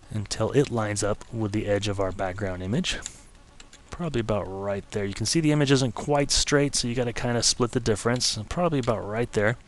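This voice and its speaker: A man with a slightly low voice guides a software tutorial step by step. He sounds relaxed and easygoing, with casual fillers. His voice is a little worn, with the odd throat-clear. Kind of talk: screen-recorded software tutorial.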